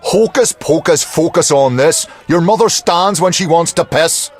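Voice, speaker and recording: Rough tavern fist fighter. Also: male voice, a little high, a little slow, soft background music. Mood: mocking. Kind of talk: fantasy video game dialogue.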